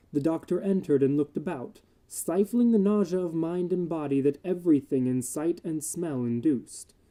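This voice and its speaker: A narrator giving a measured, solemn dramatic reading of literary horror fiction. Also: medium pitch, dark tone.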